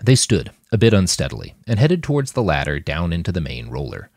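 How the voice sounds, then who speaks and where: A man reading a scripted story aloud as an expressive audiobook-style narrator. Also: deep voice, a little fast, breathy edge, no echo, clean studio sound. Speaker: author reading own fiction podcast.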